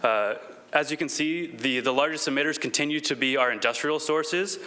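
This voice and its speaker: A man giving a formal presentation to a city council as a staff coordinator, polite and a little halting. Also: a little high, pushed and bright.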